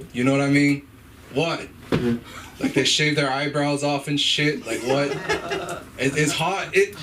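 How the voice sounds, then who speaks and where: A man's voice: fairly high pitch, distant mic. A man in his twenties doing an open mic stand-up set in a comedy club, hesitant and full of fillers, swearing often and laughing at his own jokes. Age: 20s.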